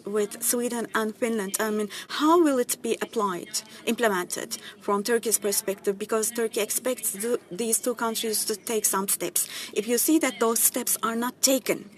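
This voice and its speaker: A woman's voice: on the high side, moderate pace, few pauses. Woman interpreting questions at a press conference. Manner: steady, with fillers and restarts. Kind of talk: simultaneous interpretation.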